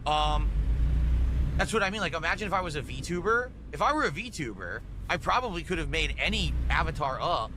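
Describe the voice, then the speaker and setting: A man's voice: a little high, sing-song, brisk, background noise. A man streamer in a casual, rambling monologue, repeating and justifying himself as he goes.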